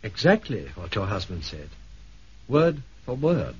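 An actor playing an urbane, pointed brain surgeon with a British accent, polished and insinuating, in a 1970s radio drama. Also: male voice, lowish voice, big pitch swings, unhurried, slightly rough, full character voice. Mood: suave, sly. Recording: dull, muffled 1970s radio recording.

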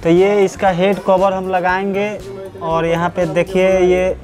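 A man's voice: high voice, flat delivery, unhurried, darkish tone, faint music behind. A man working as a motorcycle mechanic, who talks the viewer through an engine repair step by step in a workshop. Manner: explanatory, guides the viewer step by step. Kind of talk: workshop repair tutorial, narrating over close-up work.

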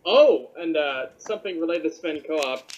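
A man's voice: on the high side, animated, moderate pace, muffled recording. A man chatting casually as a co-host, relaying game news and reading bits aloud while he plays. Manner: plain, reads announcements aloud while gaming. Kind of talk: casual chat over a call.

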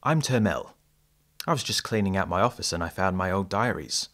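A man's voice: sits low, animated, a little fast, a bit bright. A man playing a polite, earnest diarist, narrating an audio drama in formal storybook phrasing. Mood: earnest, reflective. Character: male diarist, polite, earnest, easily startled friend. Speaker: narrator and character.

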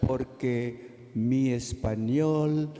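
A Filipino-accented priest preaches at a very slow, careful pace. His voice is dark and mellow, and it rings with the long reverb of a large church. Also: mid-range voice. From Philippines. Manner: slow, deliberate, careful delivery with pauses. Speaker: priest giving a Lenten mission talk. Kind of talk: sermon. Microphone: pulpit microphone.

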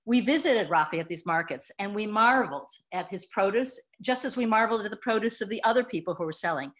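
A woman's voice: mid-range voice, expressive, fast talker, a bit bright. An older woman, a cookbook co-author, presenting a talk earnestly over a video call from home, with frequent fillers. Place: wood-paneled room at home. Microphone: laptop video call.

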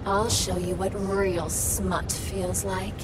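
A woman voicing a lustful, flirtatious female AI vending machine in a video game, seductive and breathy. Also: medium pitch, flat delivery, slow, background noise, close to an everyday voice. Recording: loud music behind.

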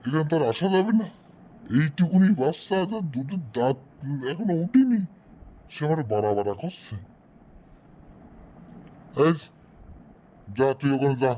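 A man's voice: on the high side, mellow. A man voicing an indignant old grandpa who scolds reproachfully in a comic cartoon. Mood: scandalised, indignant. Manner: scandalised, reproachful.

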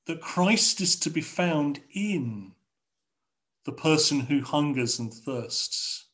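A middle-aged man with an English accent preaching a sermon from a home study over a video call, in a measured, rhetorical way with repeated phrases. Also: fairly high pitch, a bit bright. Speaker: pastor. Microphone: video call webcam.

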